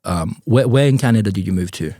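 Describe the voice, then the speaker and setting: A male interview host asking questions in a halting way, with frequent restarts. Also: on the low side, lively intonation, dark tone, no room sound. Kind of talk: interview hosting.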